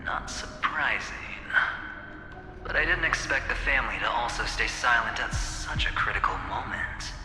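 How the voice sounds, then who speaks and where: A man's voice: mid-range voice, moderate pace, close to an everyday voice. Man voicing a composed corporate strategist, echoey hall. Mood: measured, businesslike. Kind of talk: video game story voice acting. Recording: echoey hall reverb, loud music behind.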